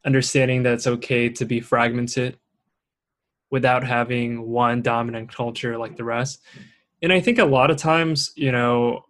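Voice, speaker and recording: A man who grew up in Singapore and Shanghai, hosting a relaxed conversation. He talks earnestly and warmly encourages his listeners, picking up speed when he gets animated. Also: medium pitch, normal speed. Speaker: podcast host. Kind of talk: casual interview chat.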